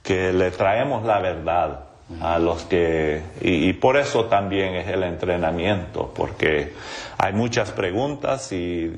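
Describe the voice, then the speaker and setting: Middle aged guy, in his spanish, kinda low voice, talks really slow and searches for words, religious interview. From Honduras, raised in an English-speaking home. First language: English and Spanish. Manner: halting, searching for words, seeks agreement. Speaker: guest, evangelism trainer.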